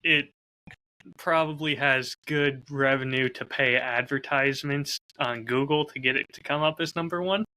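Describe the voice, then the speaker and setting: A man chatting casually as a podcast co-host in a low-key, measured, slightly hedging way. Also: fairly even intonation, sharp tone, poor audio. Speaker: podcast co-host and audio drama creator.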